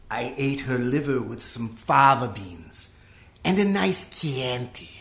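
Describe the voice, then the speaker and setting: A man playing a cultured, menacing serial killer in a radio comedy parody, sneering and taunting with deliberate condescension. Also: mid-range voice. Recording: echoey room.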